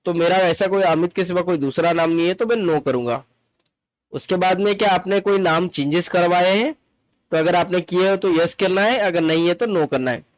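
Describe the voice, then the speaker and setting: A man's voice: high-pitched, moderate pace, dry recording. A man giving an informal step-by-step screen tutorial, repeating each instruction and mixing in English terms. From Mumbai, India. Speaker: tutorial presenter, travel agent. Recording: dull lo-fi close dry recording. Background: voice-over to screen recording.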